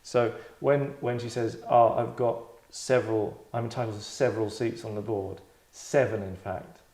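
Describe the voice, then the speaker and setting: A man hosting and directing a table read, chatting casually in a tongue-in-cheek acting critique, deadpan, rambling and self-satisfied. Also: fairly low pitch, normal speed. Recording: roomy, echoey indoor sound.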